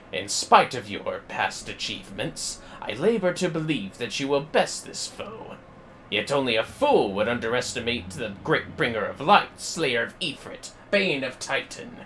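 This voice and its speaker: A man voicing a grave, scheming fantasy game villain, formal and measured, with condescending menace. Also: mid-range voice, fairly bright tone, a little hiss or hum, poor audio.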